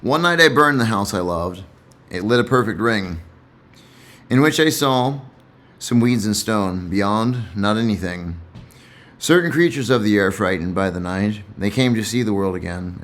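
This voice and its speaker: A man's voice: on the low side, fairly bright tone. A man who reads and comments on poetry in a casual, reflective way, somewhat hesitant and repeating himself.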